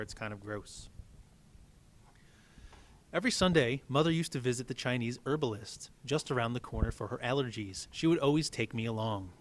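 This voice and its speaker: Male teacher, mid-pitched voice, reading a story aloud and chatting in asides.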